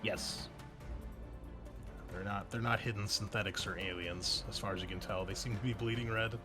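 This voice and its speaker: A man's voice: fairly low pitch, steady tone, normal speed. Casual man running a tabletop game, music behind. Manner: casual, conversational, mild swearing. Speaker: game master. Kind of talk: narrating a tabletop roleplaying game.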